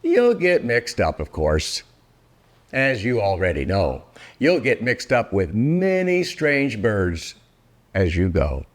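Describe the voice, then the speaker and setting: An older man reading a rhyming children's book aloud in a theatrical, deliberate way, drawing out words for effect. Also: on the low side, huge pitch swings. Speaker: storybook reader.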